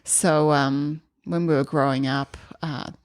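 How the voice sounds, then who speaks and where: A woman from Germany with a German accent, a podcast guest talking in a relaxed, thoughtful way with many small pauses. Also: low-pitched, dry recording. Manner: thoughtful, hesitant with many ums. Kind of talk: casual interview chat.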